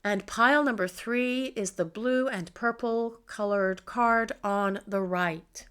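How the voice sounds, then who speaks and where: A middle-aged woman hosting a tarot reading, speaking in a calm, measured way and gently repeating her questions as she draws each card. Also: on the high side, smooth. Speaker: tarot reader.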